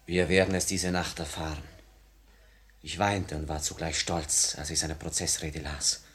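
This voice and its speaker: A man's voice: very deep voice, level tone, measured pace, a bit bright. An actor playing an earnest, remorseful young male revolutionary in a 1950s German-language radio drama, declaiming solemnly and with fervor.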